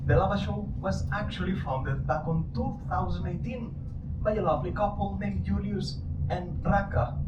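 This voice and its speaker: A man with a foreign accent guiding a live show, teasing and joking with his audience. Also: high voice. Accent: non-native English accent. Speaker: lava show guide. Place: indoor presentation hall. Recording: distant, roomy, dull, noisy.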